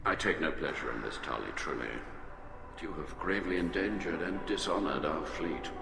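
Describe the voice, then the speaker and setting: An actor playing a pompous, prickly middle-aged alien admiral in a video game, formal and haughty. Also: male voice, extremely low pitch, creaky. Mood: stern, accusing. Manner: formal, haughty, deliberate wording. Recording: echoey hall, music behind.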